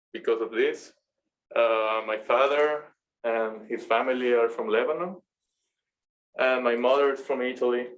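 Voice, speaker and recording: Man, halting class talk. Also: medium pitch, poor audio. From Venezuela. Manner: halting, searching for words. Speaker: master's student presenter. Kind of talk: class presentation.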